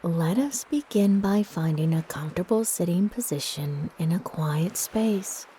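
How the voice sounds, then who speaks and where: A woman narrating a guided meditation in a soft, breathy, gentle and soothing manner with long pauses. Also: fairly low pitch, a little hiss or hum.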